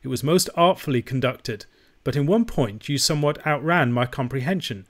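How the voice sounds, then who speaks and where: A man's voice: medium pitch, expressive, moderate pace, close to an everyday voice. A man voicing a courteous, drily amused lawyer who speaks in a formal, civil, wordy way in an audiobook. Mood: drily teasing. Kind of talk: audiobook character voice.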